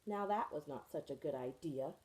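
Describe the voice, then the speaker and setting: A chorus of sensible penguins who pity their foolish friend, as a woman reading aloud puts them on. Their tone is mellow and matter-of-fact, with a sympathetic note, read from a storybook. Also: low-pitched, expressive, moderate pace, pitched below the performer's own voice, rough recording. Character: group of sensible, pitying penguins.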